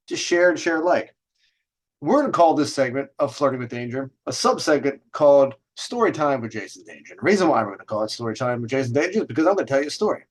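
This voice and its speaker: A man's voice: mid-range voice, expressive, fast talker, rough recording. A middle-aged man hosting a video segment, telling stories in a casual, rambling, informal way with conversational asides and some swearing. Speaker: host of a dating and lifestyle video segment. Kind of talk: casual, rambling storytelling to camera.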